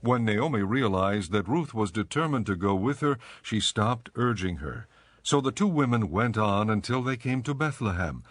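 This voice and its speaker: Low-voiced male scripture narrator. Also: animated, moderate pace, no room sound.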